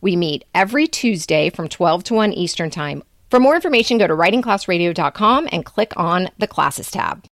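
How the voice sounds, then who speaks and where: A woman's voice: lowish voice, animated, normal speed, few pauses. A woman co-hosting a podcast, reading a scripted introduction into a close microphone. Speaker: podcast co-host and editor.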